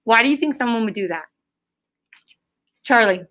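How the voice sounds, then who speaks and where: A woman's voice: a little high, lively intonation, normal speed, a bit bright. A woman teacher reading aloud to her class in an instructive way, stopping to prompt pupils with check-in questions. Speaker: elementary school teacher.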